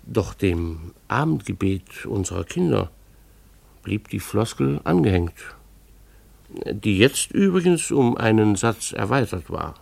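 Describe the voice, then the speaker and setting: An actor playing a harried, middle-aged schoolteacher husband in a German radio play, with dry, formal, hesitant phrasing. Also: male voice, fairly low pitch, sing-song, takes it slowly, creaky, darkish tone, no room sound, full character voice. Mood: wry, resigned. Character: harried, wry husband, a schoolteacher and family father.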